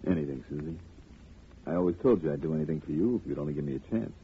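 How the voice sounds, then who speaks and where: A man's voice: a bass voice, animated, racing. An actor playing a slick, slangy male racketeer with a terse, insinuating manner in a 1950 radio drama. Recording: tinny, noisy old radio recording.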